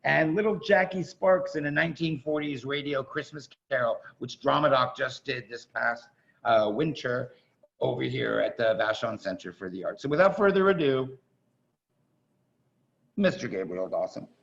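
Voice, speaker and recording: Theatre host: man, chatty and effusive, fry in the voice, informal introductions, muffled video-call sound. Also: medium pitch, normal speed, fairly bright tone. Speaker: host of a staged reading event.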